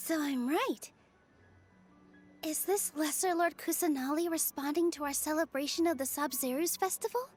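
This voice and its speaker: A young festival dancer in a game, sweet and earnest. Her high voice is gentle and expressive, full of wonder, with faint music underneath. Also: unhurried, long pauses. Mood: curious. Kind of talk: video game voice acting, storytelling.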